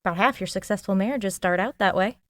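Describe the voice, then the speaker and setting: An actress playing a frank, nosy working-class maid in a 1920s period audio drama, with a folksy, plain-spoken manner. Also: female voice, medium pitch, quick pace, fairly bright tone, no echo, studio quality, natural voice. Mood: frank, probing. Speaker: actor.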